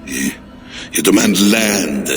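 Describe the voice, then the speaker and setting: A medieval king in a video game cutscene, unstable and scheming. His voice sits low but swoops widely, and he lingers over his words. Music plays beneath him. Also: expressive, takes it slowly. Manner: swings between frightened pleading and sly, mocking scheming.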